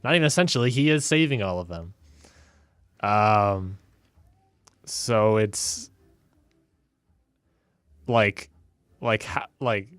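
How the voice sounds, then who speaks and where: A man's voice: fairly low pitch, slow, long pauses, fairly bright tone, smooth, dry recording. A man chatting casually as a player in a tabletop game, rambling and repeating words as he works out his thoughts. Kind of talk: casual chat about his character.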